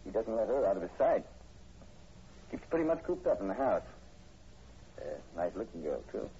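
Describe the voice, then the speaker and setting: A man's voice: fairly low pitch, very animated, moderate pace, dark tone. An actor playing a skeptical state police sergeant in a 1940s radio horror drama, folksy and matter-of-fact in his delivery. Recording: muffled, hissy old radio recording.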